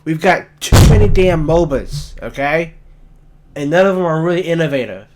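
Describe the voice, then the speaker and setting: A young man with a raspy, just-woken-up voice, talking bluntly and swearing as he rants in a casual solo commentary. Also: on the high side, lively intonation, moderate pace, noisy background. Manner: blunt, ranting, swears often. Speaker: gaming commentator.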